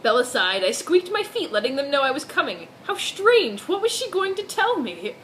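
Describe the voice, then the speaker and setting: A woman's voice: high-pitched, very animated, normal speed, a little hiss or hum. A woman giving a mock-dramatic reading of fan fiction, giggly and theatrical, with sarcastic asides.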